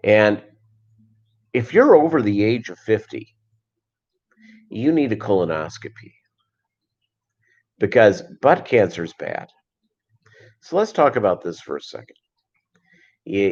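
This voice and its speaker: An American man improvising a mock commercial as an earnest, sincere-sounding health pitchman. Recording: dull lo-fi livestream audio.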